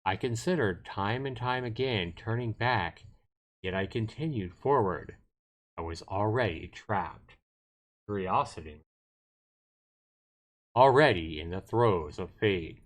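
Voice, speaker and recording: A man's voice: lowish voice, expressive, takes it slowly, pauses often. A man hosting a game livestream, reading story text aloud expressively and dropping in casual asides. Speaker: livestream host playing a visual novel. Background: game background music.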